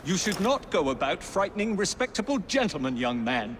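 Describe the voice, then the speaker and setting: An actor playing an older, genteel and earnest Victorian gentleman naturalist in a video game, with a British accent and formal, precise speech. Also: high voice, sing-song, brisk. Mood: courteous, flustered. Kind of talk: video game cutscene acting. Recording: clear outdoor street and vehicle noise.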